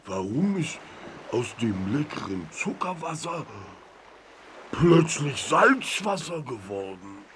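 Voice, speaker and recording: A whiny, good-natured cartoonish bear in a children's audio play. He swings wildly in pitch and takes his time, stammering like a greedy child, with clear noise behind him. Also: slow pace, full character voice. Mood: disappointed, puzzled. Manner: expressive, stammering, complaining.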